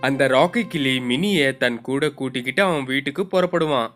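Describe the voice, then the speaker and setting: A man's voice: mid-range voice, a little fast. A man narrating a scripted moral story for children. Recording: loud guitar music behind.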